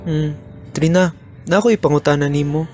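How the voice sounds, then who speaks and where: A young man playing a gentle heartbroken young man who shyly courts a new love, in a student radio drama. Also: a little high, a little fast, soft background music, close to an everyday voice. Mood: nervous. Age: young adult.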